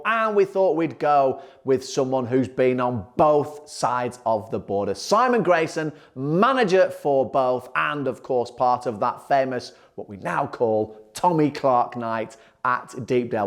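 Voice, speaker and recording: Guy hosting a club footy show, higher voice, upbeat, likes a joke. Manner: upbeat, jokey with the guest. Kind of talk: presenting and interviewing.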